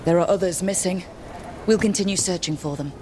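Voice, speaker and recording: A woman playing a steady, supportive adventurer and leader in a video game, calm and matter-of-fact. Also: fairly low pitch, brisk, background noise, close to an everyday voice. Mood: determined.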